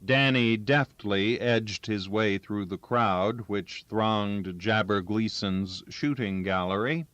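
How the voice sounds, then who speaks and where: A man's voice: on the low side, slow pace, hardly any pauses, a bit bright, no echo. A man reading a story aloud as scripted audiobook narration. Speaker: audiobook reader. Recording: dull, lo-fi, dry recording.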